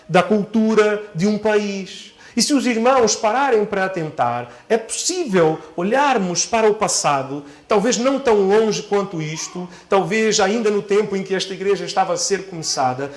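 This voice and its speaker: A man preaching to a church congregation as a pastor, phrasing his points deliberately and repeating key phrases for emphasis. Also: sits high.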